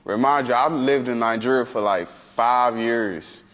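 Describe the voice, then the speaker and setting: A man telling a casual story in a relaxed way, often laughing. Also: medium pitch, unhurried, long reverb tail. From United States. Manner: laid-back, laughing storyteller. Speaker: interviewee.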